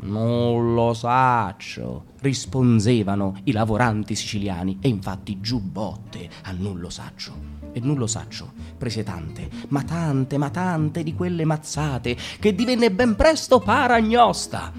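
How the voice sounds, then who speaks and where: A man's voice: mid-range voice, expressive, measured pace, soft background music. A man narrating a comic radio drama as a mock art-history lecture, with theatrical swings in pace.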